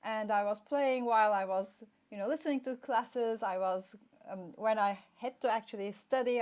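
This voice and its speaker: A woman's voice: medium pitch, a little mellow. A middle-aged woman from Turkey chats as an interview guest, voice sitting in a comfortable middle range. She wanders through her story with plenty of fillers and restarts. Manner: chatty, meandering storytelling with fillers. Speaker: guest, play expert. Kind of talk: casual interview chat.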